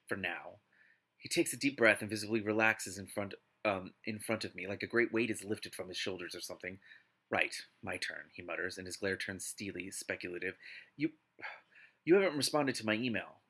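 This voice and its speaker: A man hosting a live read-aloud of a book, mixing narration with casual, exasperated and expressive commentary. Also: fairly low pitch, breathy edge. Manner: exasperated, expressive asides while reading.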